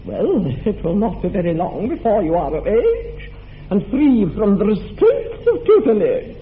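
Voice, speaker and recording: A haughty older aristocratic woman , played by a man, in an old radio play, pitched high and swooping wildly from note to note. The delivery is grand and drawn out, and the sound is thin and phone-narrow with a faint hiss behind it. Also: sing-song, measured pace. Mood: condescending.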